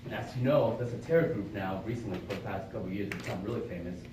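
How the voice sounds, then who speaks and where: A man's voice: on the low side, quick pace, noisy background. A young man, from Vietnam and raised in Florida, giving a prepared club speech in an earnest, explanatory way with rhetorical questions. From born in Vietnam, raised in Florida. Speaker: club speaker. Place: meeting room with small audience. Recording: echoey room from far off.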